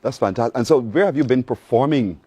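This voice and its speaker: A man's voice: medium pitch, quick pace, dark tone, breathy edge, no echo. A man with a Barbadian accent hosting a morning TV show, upbeat and encouraging, often repeating his guest's answers back. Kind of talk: TV interview.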